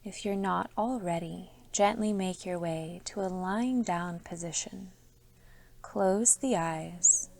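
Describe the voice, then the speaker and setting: A woman yoga instructor leading a guided sleep meditation, giving soft, soothing instructions with calm pauses. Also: fairly low pitch, gravelly. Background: soft background music.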